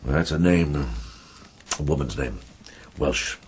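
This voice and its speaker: A man playing a kindly, thoughtful older medieval monk in a radio drama, speaking in a measured, reflective way. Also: a bass voice, animated, gravelly, a little hiss or hum, exaggerated voice. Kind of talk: BBC radio drama acting.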